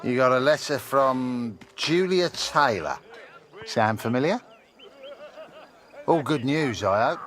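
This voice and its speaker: Lively, affable army postman. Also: medium pitch, slow pace, pauses often. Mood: friendly. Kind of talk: game dialogue in character.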